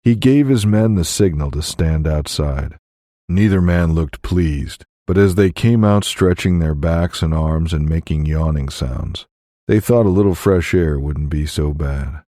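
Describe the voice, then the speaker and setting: A man reading scripted fiction as an audiobook narrator, in a measured, deliberate way on a close mic. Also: very deep voice, warm tone, no room sound.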